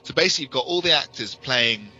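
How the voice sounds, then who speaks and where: A man's voice: medium pitch, lively intonation, fast, bright, forceful tone. A British man co-hosting a podcast, talking casually and frankly as an opinionated fan. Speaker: podcast co-host. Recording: dull lo-fi audio with music.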